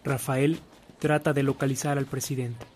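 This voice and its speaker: A man's voice: mid-range voice, flat delivery, normal speed, darkish tone, slight background noise, close to an everyday voice. A man acting as the storyteller in a Spanish-language radio drama, reading scripted narration. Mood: narrating.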